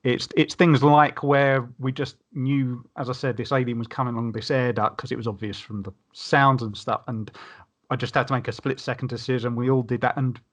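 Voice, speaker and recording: A man's voice: medium pitch, fairly even intonation, a little fast. A man in a casual podcast discussion, telling wordy anecdotes with emphasis.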